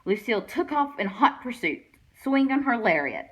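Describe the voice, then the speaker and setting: A woman reading a children's picture book aloud with expressive storytelling delivery. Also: on the high side, moderate pace, slightly rough. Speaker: guest read-aloud reader.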